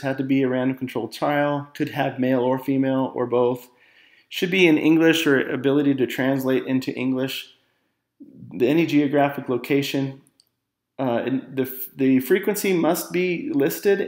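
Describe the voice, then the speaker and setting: A man narrating an academic slide presentation as a student, halting at times with self-corrections and repeated words. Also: mid-range voice, darkish tone.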